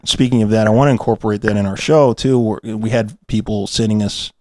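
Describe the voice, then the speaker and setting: A man's voice: on the low side, fairly even intonation, a little fast, darkish tone, dry recording. Rambling man chatting casually, close dry sound. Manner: hesitant, meandering, jokey. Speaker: podcast co-host. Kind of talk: casual rambling after-show banter.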